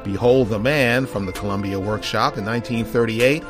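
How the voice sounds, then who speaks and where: A man's voice: mid-range voice, moderate pace, over music. An American man hosting a programme, reading scripted narration and announcements in a presenter's style. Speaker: podcast host. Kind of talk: scripted narration and sponsor read.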